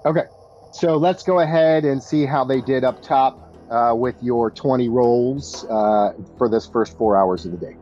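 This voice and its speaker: A man's voice: medium pitch, unhurried, mellow. Dull-sounding male game master over music. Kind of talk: narrating a tabletop game, casual. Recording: dull lo-fi audio, music underneath. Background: background music.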